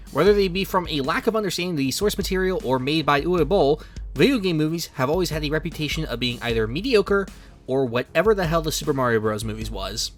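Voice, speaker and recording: Lively, higher-pitched man narrating a video essay. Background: music under the voice.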